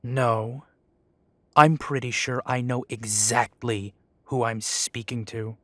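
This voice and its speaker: A man's voice: mid-range voice, level tone, slow, sharp tone, no room sound. An actor playing a defiant young male werewolf who answers the council with dry, mocking politeness. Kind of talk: audio drama acting.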